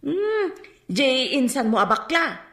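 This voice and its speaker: An actress playing a teasing, gossipy shopkeeper in a radio drama. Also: female voice, sits high, very animated, slow pace, fairly bright tone, heavily acted. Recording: echoey room sound.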